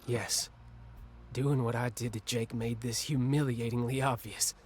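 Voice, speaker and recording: A man acting an angry, ashamed younger brother in a video game cutscene, with a halting, bitter, confessional delivery. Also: medium pitch, little pitch movement, natural voice. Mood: ashamed, earnest. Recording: music under the voice.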